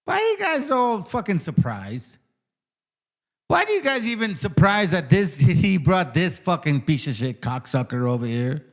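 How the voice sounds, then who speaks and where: A man ranting aggressively and profanely, repeating himself, his voice swinging between loud outbursts and mutters. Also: high-pitched, very animated, normal speed. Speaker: ranting commentator. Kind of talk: profane rant.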